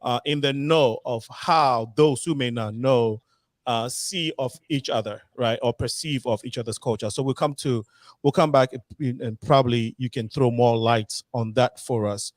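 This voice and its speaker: A man's voice: mid-range voice, normal speed, no room sound. A man hosting a panel talk show, asking long, rambling questions full of fillers. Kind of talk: panel discussion moderating.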